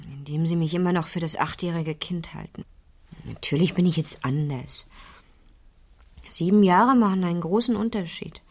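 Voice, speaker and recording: A woman's voice: on the low side, moderate pace, breathy edge. A woman playing an insistent, inquisitive fifteen-year-old girl in a German radio crime drama, with an intense, pressing delivery. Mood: pleading, insistent.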